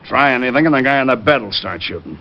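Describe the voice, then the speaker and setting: A man's voice: medium pitch, expressive, fast, creaky. A man playing a curt, commanding police lieutenant in an old radio crime drama. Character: gruff, businesslike male police lieutenant. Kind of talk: 1940s-50s radio crime drama acting. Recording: muffled, faintly noisy old radio recording.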